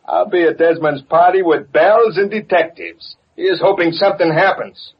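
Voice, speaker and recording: An actor playing a breezy, wisecracking, eager newspaper reporter in an old radio drama. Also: male voice, high-pitched, normal speed. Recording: muffled, noisy vintage radio recording.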